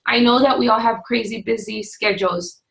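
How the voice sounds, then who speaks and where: A woman in her forties, a fitness coach talking straight to her webcam from a small home office, firm and no-nonsense, her voice slightly hoarse. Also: fairly high pitch, a little fast, a bit bright, rough recording. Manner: firm, no-excuses, pep-talk delivery. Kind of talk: direct-to-camera coaching talk. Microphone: webcam at desk distance.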